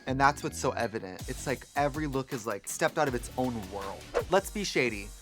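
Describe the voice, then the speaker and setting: A man hosting a casual chat show, talking in a playful, teasing, quick-witted way. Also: mid-range voice, lively intonation, music in the background. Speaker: host.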